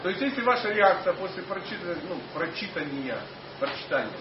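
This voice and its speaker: A man with a high voice and a foreign accent lectures an audience on scripture. He speaks haltingly, with many fillers and restarts, and often puts questions to the listeners. He is picked up from a distance in an echoing hall, and the sound is dull with steady noise underneath. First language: not Russian. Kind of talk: informal religious lecture to an audience.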